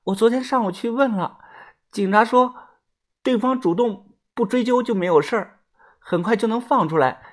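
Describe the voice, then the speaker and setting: A woman's voice: a little high, pitched above the performer's own voice, natural voice. A storyteller voicing a timid, desperate young woman who stammers and pleads for help. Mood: anxious, hesitant. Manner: stammering, halting.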